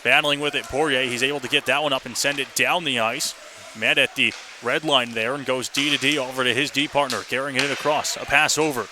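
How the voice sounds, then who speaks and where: Male play-by-play announcer, very bright and driving delivery, live radio hockey call over faint arena noise. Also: medium pitch, fairly even intonation, fairly quick. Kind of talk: live radio sports commentary.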